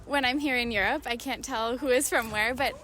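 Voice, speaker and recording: A woman's voice: a little high, fairly quick, pushed and bright, slight background noise. A woman podcast host interviewing outdoors, speaking in an appreciative, gushing way. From Hawaii. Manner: gushing, appreciative, laughs often. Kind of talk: warm interview chat. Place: outdoors by a city river.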